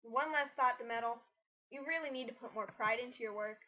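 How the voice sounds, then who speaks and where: A woman's voice: a little high, fairly quick, pushed and bright, poor audio. A woman giving casual critical commentary in a blunt, exasperated, lecturing way.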